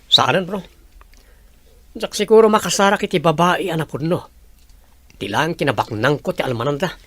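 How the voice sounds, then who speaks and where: A man's voice: fairly high pitch, moderate pace, bright, forceful tone, dry recording. A man playing a disillusioned rich young bachelor in an audio drama. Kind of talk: Ilocano audio drama acting.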